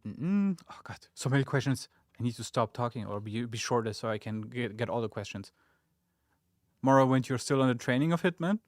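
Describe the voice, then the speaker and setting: Young German-native man, middle pitch, dry close mic, relaxed and chatty livestream host. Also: dry recording. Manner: chatty and relaxed, with many fillers and asides. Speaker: social media community manager and stream host. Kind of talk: casual livestream chat reading viewer comments. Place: game studio office.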